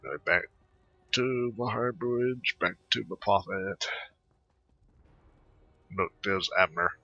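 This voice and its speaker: Man, casual game commentary, husky, very bright edge, halting delivery, slightly dull recording. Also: sits low, no echo, soft background music. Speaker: gamer doing a playthrough. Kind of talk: casual gameplay commentary, reading dialogue aloud.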